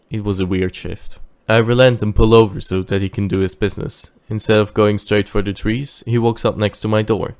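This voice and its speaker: A man playing a dutiful, wary driver who recounts his tale in measured audio drama narration. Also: on the low side, a little mellow, close to an everyday voice. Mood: uneasy.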